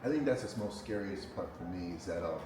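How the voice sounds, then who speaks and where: A man in his thirties hosting a casual interview, animated and slangy, laughing often. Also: deep voice, fast, over music. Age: 30s. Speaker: host. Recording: echoey room, far from mic, noisy.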